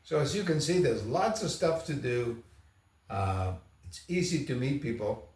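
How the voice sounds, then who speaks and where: A man's voice: mid-range voice, expressive, measured pace, darkish tone. A man from Argentina talking casually as a travel vlogger, in a rambling, conversational, anecdotal way. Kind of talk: casual first-impressions vlog talk. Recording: roomy echo, distant microphone.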